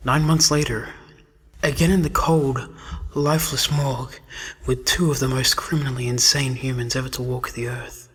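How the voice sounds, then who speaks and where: A man's voice: medium pitch, steady tone, moderate pace, a bit bright, husky. A man delivering dramatic scripted narration for a horror story. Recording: music under the narration.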